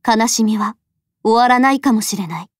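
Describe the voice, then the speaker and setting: A woman playing a solemn, resolute fox deity in an audio drama, speaking in a measured, deliberate way with sorrow underneath. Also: fairly high pitch, no echo, clean studio sound, close to an everyday voice. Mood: sorrowful, tender. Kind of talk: Japanese voice drama acting.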